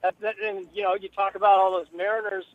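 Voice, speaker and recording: High American male guest on a dull line. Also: level tone, fast talker, crowd noise. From Pacific Northwest, USA. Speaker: guest, baseball radio host. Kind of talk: casual radio interview chat.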